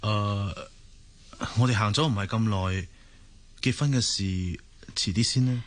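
A man's voice: on the low side, unhurried, lightly acted. A man acting in a Hong Kong Cantonese radio drama as an earnest young hospital doctor who is evasive and hesitant. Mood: evasive, flustered. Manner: hesitant, stammering.

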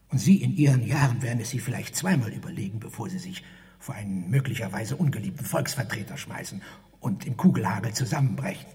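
An actor playing a meek, wheedling garage attendant in a radio drama, with wordy, formal pleading that often drops toward a breathy whisper. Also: male voice, mid-range voice, darkish tone, lightly acted. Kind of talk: theatrical radio drama dialogue. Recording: reverberant hall-like space.

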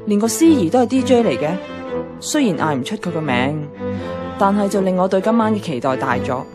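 A woman's voice: medium pitch, very animated, brisk, over loud music, lightly acted. An actress playing a single young office woman narrating her woes in a radio drama, wry and confiding, with a Hong Kong Cantonese accent. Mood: wry, hopeful. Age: young adult.

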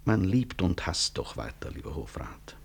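Weary, husky, deep-voiced professor. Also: male voice, very animated, in a whisper, full character voice. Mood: reflective. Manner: reflective, articulate, deliberate stage delivery. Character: weary, proud male physician-professor, disillusioned and wryly self-mocking. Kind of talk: 1960s German radio drama acting.

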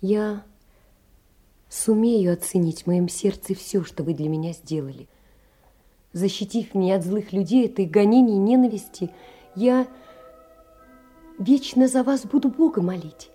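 An actress playing a gentle, lonely poor young woman, wistful and earnest, in a theatrical literary audio drama. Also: medium pitch, a little slow, pauses often, slightly rough, soft background music. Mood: solemn, grateful.

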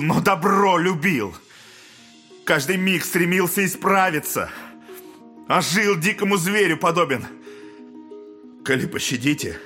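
A man's voice: on the high side, pushed and bright, full character voice. An actor playing a passionate, anguished accused man who declaims solemnly and emotionally in an audio drama. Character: Dmitry Karamazov, passionate anguished accused man pleading innocence. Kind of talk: theatrical audio drama acting.

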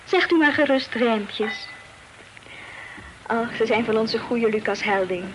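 An actress playing a well-bred young Dutch lady in a radio play, formal, coy and gently reproving. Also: fairly high pitch, takes it slowly. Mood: teasing, polite. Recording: noisy old radio play recording.

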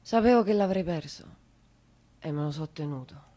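A woman's voice: deep voice, expressive, normal speed, mellow. A woman playing a grieving, bitter lone mother in a theatrical monologue, with a Roman accent and intimate, broken, repetitive delivery swinging from murmurs to cries. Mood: anguished, hushed.

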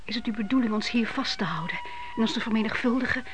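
A woman's voice: on the high side, fast, music in the background, close to an everyday voice, low-quality recording. An actress playing a devout, stubborn widowed mother in a radio play, speaking in a solemn, imploring, emphatic way. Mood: anguished prayer. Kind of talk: radio drama acting.

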